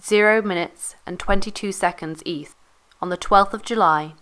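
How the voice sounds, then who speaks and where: A woman's voice: mid-range voice, normal speed, fairly bright tone. A woman reading a formal, factual historical narration from a script.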